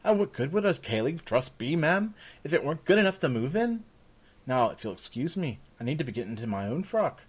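A man's voice: on the high side, big pitch swings, a little fast, breathy edge, pitched above the performer's own voice. A man voicing an eager, deferential young servant girl with polite, old-fashioned speech in a fantasy story. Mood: cheerful. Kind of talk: fantasy audiobook character.

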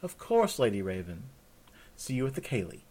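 A man's voice: medium pitch, huge pitch swings, moderate pace, darkish tone, slightly rough. A man voicing an eager, deferential young servant girl with polite, old-fashioned speech in a fantasy story. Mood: cheerful. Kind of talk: fantasy audiobook character.

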